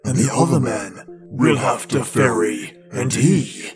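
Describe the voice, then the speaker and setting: A man voicing a gruff, irritable devil in a storybook reading, grumbling with emphatic delivery. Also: medium pitch, sing-song, slow pace, music in the background, voice pushed down. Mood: scornful, knowing. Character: gruff, suspicious, irritable devil, easily angered.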